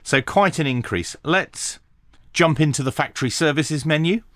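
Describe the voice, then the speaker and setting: A man giving a hands-on tech tutorial, talking through each step methodically with pauses and dry asides. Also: a little high, no room sound. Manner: methodical, thinking aloud with frequent pauses. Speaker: radio reviewer.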